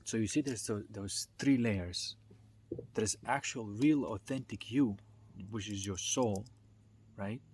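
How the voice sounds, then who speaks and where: Low-voiced man explaining in halting phrases. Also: darkish tone. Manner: halting, searching for words, checks in with "right?", "you see". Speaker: speaker on spiritual self-development. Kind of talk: informal unscripted explanatory monologue. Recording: noticeable background noise.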